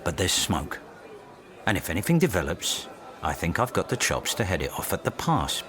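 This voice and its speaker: Low-pitched, admonishing university boss. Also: male voice, sing-song, normal speed, fairly bright tone. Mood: placating. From Essex, England. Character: stern, measured male university boss, reproachful colleague. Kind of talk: naturalistic radio drama acting.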